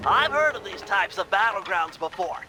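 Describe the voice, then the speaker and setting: A nerdy little male animal strategist lays things out precisely and earnestly. His voice sits remarkably high for a man, bookish and video-game cartoony, over prominent music. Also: expressive, moderate pace. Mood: helpful, analytical. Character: brainy, earnest male animal sidekick who plans the heists. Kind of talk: video game character acting.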